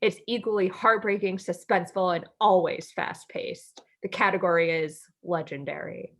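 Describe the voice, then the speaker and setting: A woman's voice: on the low side, fairly even intonation, normal speed, a bit bright. An American woman co-hosting a podcast in casual, friendly chat, informal and giggly, wandering off into asides. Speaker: podcast co-host.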